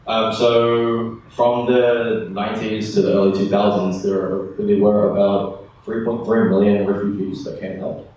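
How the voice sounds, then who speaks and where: A young man with a New Zealand accent, a student panelist, explaining in a relaxed way with lots of fillers. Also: sits low, highs cut off. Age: young adult. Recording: echoey room from far off, faint noise.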